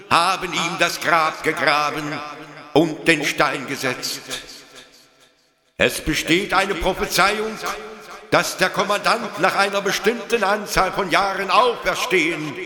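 A man's voice: sits high, unhurried. A man voicing a solemn, prophetic gravestone inscription in a radio play, in a proclamatory manner. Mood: solemn proclamation. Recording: echoey hall reverb with crowd.

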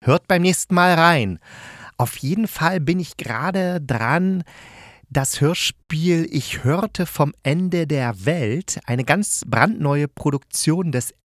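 A man's voice: on the high side, expressive, slow pace, no room sound, studio quality. A man hosting a podcast as a critic, giving an enthusiastic, conversational review in a colloquial, emphatic and opinionated way. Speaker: podcast host and radio drama critic.

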